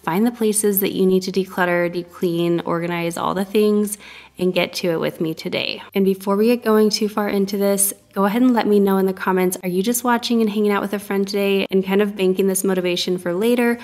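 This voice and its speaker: Chatty upbeat woman vlogger, slight creak. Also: medium pitch, fairly even intonation, fairly quick, a little mellow. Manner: enthusiastic, chatty, addresses viewers directly. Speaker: homemaking vlogger. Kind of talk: upbeat cleaning vlog talk.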